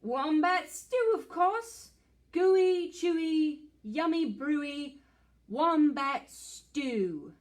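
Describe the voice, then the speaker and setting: A woman's voice: high voice, animated, extremely slow, pitched above the performer's own voice, exaggerated voice. A woman voicing a gleeful sly dingo cook. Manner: sing-song, gleeful, exaggerated.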